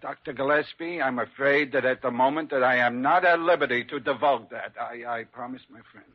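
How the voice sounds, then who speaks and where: An actor playing a flustered, stubborn hospital underling in 1940s radio drama, stammering and stiffly formal. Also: male voice, mid-range voice, brisk, natural voice. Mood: nervous, stubborn. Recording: muffled, noisy old radio recording.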